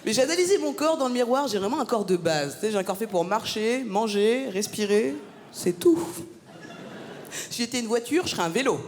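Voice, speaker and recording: A woman from France works a live theatre crowd with a stand-up set. Her voice sits high, and she banters with the audience in quick, punchy jabs. A long hall reverb trails every line, over faint room noise. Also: animated, a little mellow. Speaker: stand-up comedian. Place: large theatre stage.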